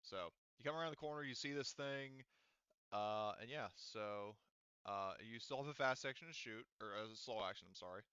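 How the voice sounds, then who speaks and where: Laughing game master with a bright, crisp voice. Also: male voice, medium pitch, moderate pace, dry recording, muffled recording. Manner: laughs often, thinks aloud while running rules. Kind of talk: running a tabletop game online.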